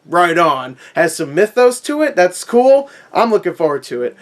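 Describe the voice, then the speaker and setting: A man's voice: high voice, big pitch swings, moderate pace. A man giving a casual, opinionated rant in a sarcastic, sweary, conversational way. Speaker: movie review vlogger.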